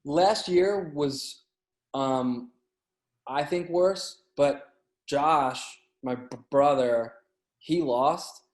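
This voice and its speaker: A man chatting casually as a podcast guest, telling anecdotes in a halting way, often repeating and stumbling over words. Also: fairly high pitch. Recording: roomy, echoey sound.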